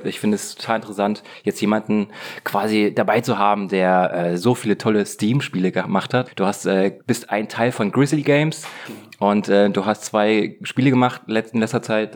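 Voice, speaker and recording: Eager low-voiced podcast host. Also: male voice, normal speed. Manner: eager, filler-heavy, gushing. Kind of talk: casual podcast interview.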